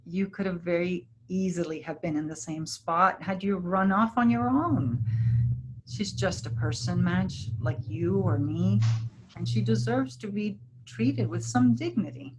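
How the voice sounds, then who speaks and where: A woman playing a kind, principled healer in a travelling troupe, gentle and reassuring, in a staged play reading. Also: fairly low pitch, measured pace, background noise, natural voice. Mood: gently firm.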